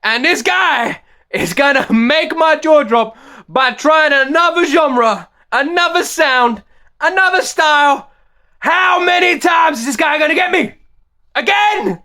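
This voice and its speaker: This reaction host has a strikingly high voice with a bright, forceful edge. He chats straight to his audience and echoes his own phrases. His excitement breaks into loud, incredulous outbursts, and his voice is wearing hoarse. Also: a little slow. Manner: excitable, bursts into loud disbelieving shouts; talks straight to viewers, repeats phrases. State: voice going hoarse. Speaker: music reaction video host. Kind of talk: reaction vlog chat.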